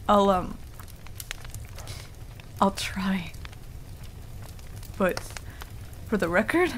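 A woman's voice: medium pitch, a little hiss or hum, voice pushed down. A woman voicing a proud but flustered young female werewolf in an intimate ASMR roleplay, stammering and defensive. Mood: shy, grudging.

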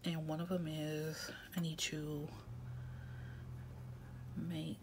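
A congested woman with a low, slow voice talks softly over a steady hiss, often dropping to a half-whisper. She chats casually to her viewers as she plans her week at a home desk. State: congested with a head cold. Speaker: planner vlogger. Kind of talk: casual chat while planning.

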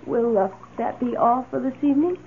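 An actress playing a meek, lonely, adoring maid in a radio drama, speaking in a timid, halting, wistful way. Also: female voice, on the high side, natural voice. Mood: deferential. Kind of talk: old radio drama acting. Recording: tinny, hissy old radio recording.

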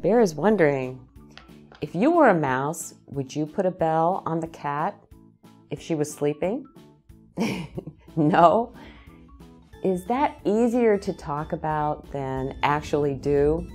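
Female storytime host, middle-aged, low-pitched and unhurried, expressive picture-book delivery for small kids, music behind. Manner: deliberate phrasing, addressing child listeners with questions. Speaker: children's storytime host reading aloud. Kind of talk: slow, animated picture-book read-aloud for young children. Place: small indoor set with bookshelves, seated in an armchair.

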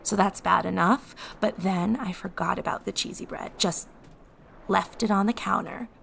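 A woman's voice: mid-range voice, moderate pace, vocal fry, fairly bright tone, a little hiss or hum. A woman playing a remorseful American teenage girl in a fantasy audio drama, talking casually and hesitantly. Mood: embarrassed, confessing. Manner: casual, hesitant, self-deprecating teen talk. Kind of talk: naturalistic audio drama acting.

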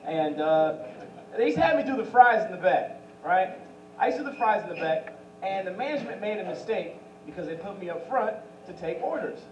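A man's voice: high-pitched, expressive, fairly quick, lo-fi sound, a little hiss or hum. A young man doing stand-up at an open mic on a small club stage, into a handheld mic, who slips into impressions of famous voices. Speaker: stand-up comedian. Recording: roomy club audio from a far camera. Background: audience laughter.

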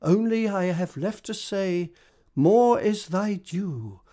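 A woman playing a gracious, emotional king in a Shakespearean radio drama, stately and effusive, with drawn-out phrasing. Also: mid-range voice, animated, dark tone. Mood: warm gratitude. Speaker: actor in an amateur radio drama.

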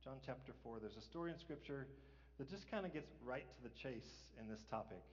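A man's voice: medium pitch, lively intonation, a little fast. A middle-aged man preaching a sermon as pastor, conversational, often asking "right?" and chuckling. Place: large church sanctuary, stage. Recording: dull, hall reverb.

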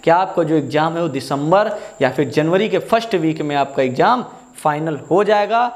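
A man's voice: fairly high pitch, lively intonation, moderate pace. A young man explaining exam updates like a coaching teacher, repeating points and adding check-in questions. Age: young adult. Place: small indoor room, standing at a whiteboard. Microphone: lapel mic.

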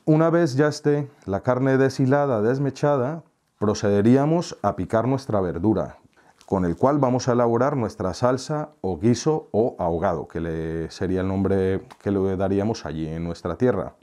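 Middle-aged Colombian-born man, easy friendly kitchen host, slightly low voice. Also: expressive, moderate pace, dark tone. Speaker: cooking tutorial host. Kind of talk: relaxed recipe explanation. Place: home kitchen, indoors.

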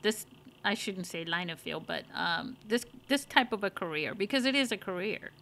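Informal woman hosting, mid-pitched voice. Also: expressive, normal speed, creaky, a bit bright. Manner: informal, searches for wording as she asks. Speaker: podcast host. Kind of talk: casual interview.

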